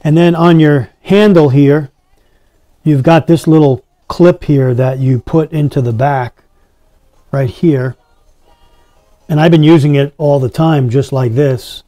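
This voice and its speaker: Mellow-voiced man doing a casual demo. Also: a little high, no room sound. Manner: addresses viewers as guys. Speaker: product reviewer. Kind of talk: casual hands-on product demonstration.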